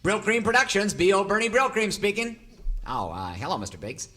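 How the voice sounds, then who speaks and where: An actor playing a scheming, gleeful, sleazy Hollywood producer who chuckles and blusters, in a parody of old-time radio melodrama. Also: male voice, high voice, wildly swooping pitch, fairly quick, pushed and bright, a little hiss or hum, exaggerated voice. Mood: evil glee. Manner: slick, blustering, chuckling often.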